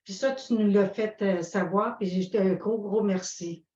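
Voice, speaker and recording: A woman making a personal comment, deliberate and restating her phrases, on dull, roomy call audio. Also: mid-range voice, darkish tone. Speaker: audience participant. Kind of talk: personal comment at an online conference.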